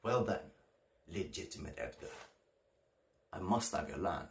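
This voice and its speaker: A man performing a classical stage soliloquy as a bitter, scheming bastard son, deliberate and brooding. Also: deep voice, very animated, pitched below the performer's own voice, full character voice. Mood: scornful, defiant. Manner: deliberate, brooding, hissing to declaiming.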